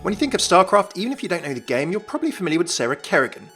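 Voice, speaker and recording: Brisk, animated man, higher-pitched. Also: faint music behind. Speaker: YouTube gaming presenter. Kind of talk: scripted video narration.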